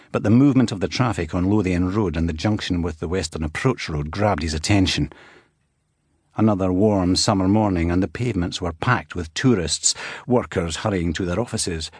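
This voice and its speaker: A man reading a thriller as scripted narration, in a measured, dramatic storytelling way. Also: deep voice, a little mellow, slightly rough, no echo, studio quality. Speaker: audiobook narrator.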